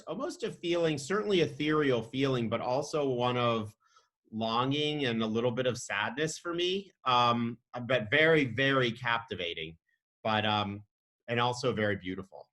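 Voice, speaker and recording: A man of middle pitch hosts the interview in a friendly, appreciative tone. He fills his pauses with an easy um or uh as he speaks over a video call. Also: a bit bright. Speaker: interviewer, host.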